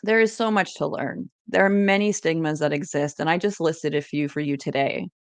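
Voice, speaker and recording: A woman with a lowish, gravelly voice leads an educational presentation. She reads encouraging tips in order, and the recording is dry and close. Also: normal speed, dry recording. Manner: scripted, encouraging, list-like delivery.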